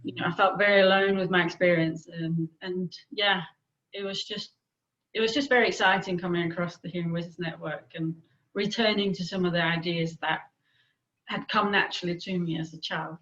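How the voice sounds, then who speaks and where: Woman, Danish accent, reflective, pauses often, muffled lo-fi sound. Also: on the low side, steady tone, fairly bright tone. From Denmark. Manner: thoughtful, with frequent pauses and fillers. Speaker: guest, peer expert on hearing voices. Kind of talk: reflective interview answers.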